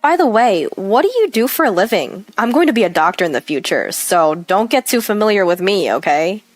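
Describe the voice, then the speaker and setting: A woman's voice: mid-range voice, big pitch swings, brisk, no echo, soft background music, natural voice. A woman voicing a haughty, mocking young female medical student in a story, rude and condescending.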